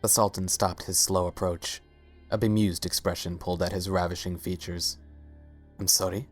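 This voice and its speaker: Low-voiced man narrating a story. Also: flat delivery, normal speed. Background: faint music.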